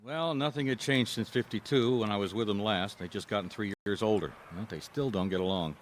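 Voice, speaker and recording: A man's voice: fairly low pitch, fairly quick, a little hiss or hum, lightly acted. An actor playing an easygoing American private eye, telling his story in a casual, wry radio drama style. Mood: wry storytelling. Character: easygoing male private eye recounting an old case. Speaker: lead actor and narrator. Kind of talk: conversational radio drama narration.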